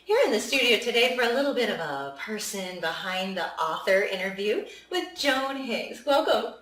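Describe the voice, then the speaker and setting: A woman, hosting an interview in an upbeat way with a quick joking manner. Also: mid-range voice, lively intonation. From West Virginia. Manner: bright, upbeat, quick with jokes. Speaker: interview host. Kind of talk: casual interview conversation. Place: studio. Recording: roomy studio sound, mic at a distance.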